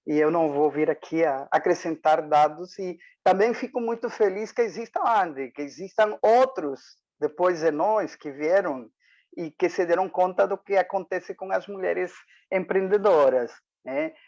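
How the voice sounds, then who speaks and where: A woman's voice: fairly low pitch, moderate pace, a little mellow. Spanish-accented woman, lo-fi call. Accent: Mexican Spanish. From Mexico and Nicaragua. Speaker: guest speaker. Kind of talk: online panel talk. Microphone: video call.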